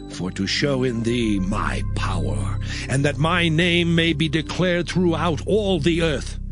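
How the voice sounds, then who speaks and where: An actor playing a stern male prophet in a dramatized scripture reading, declaiming his warnings deliberately. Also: a little high. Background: music.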